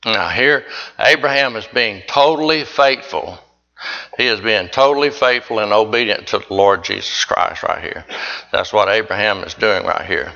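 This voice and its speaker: A man's voice: on the low side, normal speed, creaky, pushed and bright. A man preaching a sermon, reading scripture aloud and emphatically repeating his points. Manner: repetitive, emphatic. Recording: dull lo-fi recording.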